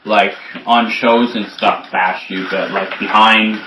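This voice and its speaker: A man's voice: on the low side, steady tone, slow pace, background noise. A man of twenty chatting as a podcast guest, crude and joking, often laughing as he talks. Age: 20s. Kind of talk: casual chat.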